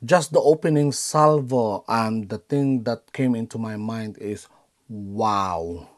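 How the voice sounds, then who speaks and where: A man's voice: on the low side, takes it slowly. A man in his thirties with a Filipino accent hosts a casual reaction vlog from his bedroom, gushing with frequent ums and exclamations. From Philippines. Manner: excitable, gushing, frequent "um" and "oh my God". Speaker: reaction video host. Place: bedroom at home.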